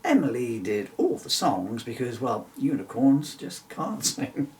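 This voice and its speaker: Very animated middle-aged man reading a rhyming storybook. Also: medium pitch, a little slow, far from the mic. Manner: animated, theatrical. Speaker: children's author reading their own story-poem. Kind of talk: expressive rhyming storybook narration.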